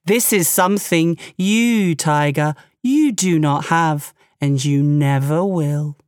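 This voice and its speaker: A woman voicing a calm, wise male water buffalo who speaks in a measured, thoughtful way. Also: low voice, no echo, clean studio sound. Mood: calm, superior.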